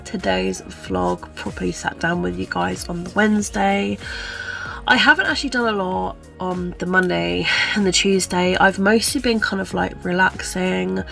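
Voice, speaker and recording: A woman's voice: medium pitch, vocal fry, a bit bright. A young woman chatting casually about her week and her reading, stuffy and congested with a chesty cough, full of "kind of, like" fillers. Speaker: book vlogger. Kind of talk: casual chat to camera.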